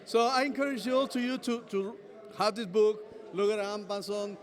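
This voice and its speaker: A man hosting a casual interview, speaking haltingly, often repeating words, with non-native phrasing. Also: high voice. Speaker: interviewer host.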